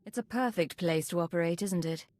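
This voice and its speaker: A woman's voice: on the low side, a little fast, darkish tone, dry recording, lightly acted. A woman playing a cool secret agent in a video game, terse and controlled. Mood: cool, direct. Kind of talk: dramatic video game dialogue.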